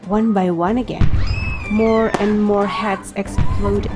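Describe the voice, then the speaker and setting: A woman's voice: medium pitch, little pitch movement, slow pace. A woman narrating a dramatic story in the first person, in a scripted fiction podcast style. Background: prominent music.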